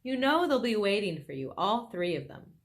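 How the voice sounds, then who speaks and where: A woman's voice: medium pitch, big pitch swings, normal speed. A woman voicing a careful, caring mother fox in a storybook, polite but firm. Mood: concerned.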